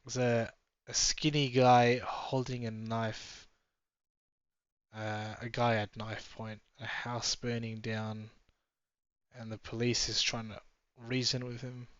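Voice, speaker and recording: A man's voice: mid-range voice, flat delivery, slow, fairly bright tone, smooth, no room sound. A man giving a casual, chatty running commentary from inside a moving car, musing aloud and drifting off topic. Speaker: travel vlogger. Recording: slightly dull, dry sound.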